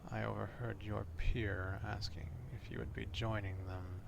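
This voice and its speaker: A man playing an obsessive, old-fashioned vampire stalker in an ASMR roleplay, speaking formally in a hushed half-whisper, close to the microphone. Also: lowish voice, little pitch movement, noisy background. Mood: quietly menacing. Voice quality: hushed, breathy half-whisper with gravelly fry. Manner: slow, deliberate, formal archaic wording.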